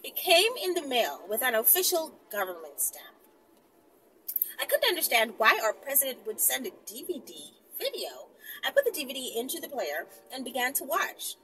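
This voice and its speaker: A woman's voice: sits high, huge pitch swings, normal speed, pushed and bright, a little hiss or hum, poor audio. A woman talking casually to her viewers as she tells and reads stories, brash and teasing, swearing freely and tossing in asides. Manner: brash, profane, teasing, with frequent tag questions and asides. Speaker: vlogger telling and reading stories to viewers. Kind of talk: casual direct-to-viewer chat and storytime.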